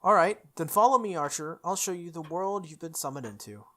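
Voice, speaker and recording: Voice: mid-range voice, expressive, fairly quick, bright, forceful tone. A player voicing a proud, blunt young mage girl. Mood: bluntly confident. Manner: blunt, bossy, tsundere.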